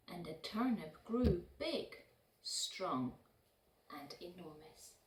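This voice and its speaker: Female children's storyteller, gentle sing-song delivery, very animated pitch, recorded in a roomy space. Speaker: puppet show storyteller. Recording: roomy echo, distant microphone.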